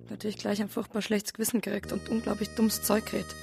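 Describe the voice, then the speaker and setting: An actress with a Bavarian accent playing a troubled wife confessing a hard youth in a naturalistic radio drama, plain-spoken and colloquial. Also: female voice, medium pitch, level tone, fast, gravelly, darkish tone, soft background music, natural voice. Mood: bitter recollection.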